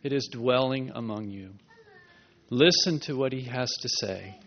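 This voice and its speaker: A man's voice: a little slow, gravelly. A man speaking a solemn prophecy in a church meeting, with repeated promises. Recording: dull low-fidelity recording.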